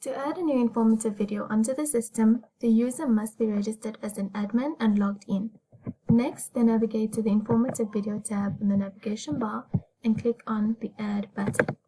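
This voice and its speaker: A young woman reading a scripted software tutorial as a student demonstrator. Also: fairly high pitch, fairly even intonation, fairly quick. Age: young adult. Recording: noisy, with keyboard typing and clicks.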